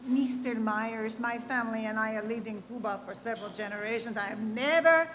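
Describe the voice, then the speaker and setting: A woman's voice: on the high side, a little fast, a bit bright, a little hiss or hum, natural voice. An actress playing a journalism professor in her fifties with a Cuban accent, formal and polite with a dry tease. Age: 50s. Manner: formal, polite, dryly teasing. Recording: long hall reverb.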